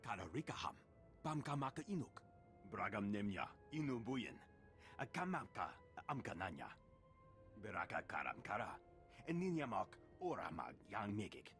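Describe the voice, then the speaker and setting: A male video game alien, with a fairly low voice that leaps around in pitch. It declaims exotic invented syllables, with music playing underneath. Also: sing-song, moderate pace, a bit bright. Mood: declaiming. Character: male alien creature speaking an invented guttural tongue.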